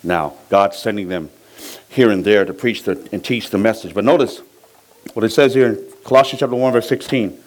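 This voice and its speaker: Samoan pastor preaching with call-and-response. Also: male voice, fairly low pitch, normal speed, rough recording. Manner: lively preaching, asks the congregation to fill in words. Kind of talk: sermon.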